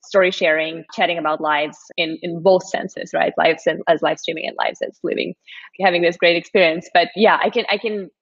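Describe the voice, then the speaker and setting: A woman's voice: on the low side, steady tone, a little fast. A woman from Moscow, Russia, hosting a live-stream interview in an upbeat, encouraging way with many fillers. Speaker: host. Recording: dull lo-fi stream audio.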